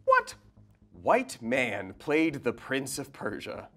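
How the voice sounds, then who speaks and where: A man's voice: mid-range voice, huge pitch swings, slow pace, bright, forceful tone. A young man hosting a playful quiz show with a dry, teasing manner. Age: young adult. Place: indoor studio set. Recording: music bed behind the voice.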